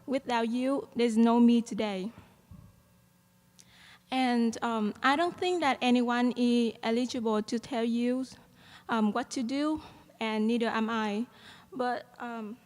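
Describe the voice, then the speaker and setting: A woman's voice: a little high, takes it slowly, a little mellow. A young woman from Vietnam with a Vietnamese accent, giving an earnest graduation speech at a podium mic with many "um" fillers. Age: young adult. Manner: earnest, with frequent "um" fillers and light laughs. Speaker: student speaker at a graduation. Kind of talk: commencement speech. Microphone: podium microphone. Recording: long hall reverb.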